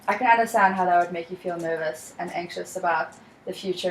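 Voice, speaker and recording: A young woman from South Africa with a South African accent, leading a practice counseling session in a calm, measured, reassuring way. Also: fairly low pitch, roomy sound, a little hiss or hum, poor audio. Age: young adult. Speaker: student counselor.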